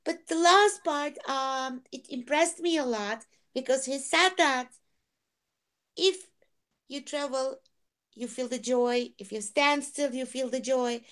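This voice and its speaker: A woman's voice: high voice, a bit bright. A woman learning English summarizing a talk, choosing her words carefully in a discussion group. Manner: careful, deliberate speech of a non-native learner.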